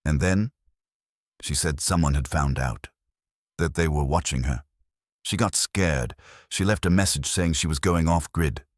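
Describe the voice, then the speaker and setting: A man voicing a sharp, guarded woman chef who answers in short, defensive lines. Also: rumbling low, measured pace, long pauses, no echo, studio quality. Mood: anxious.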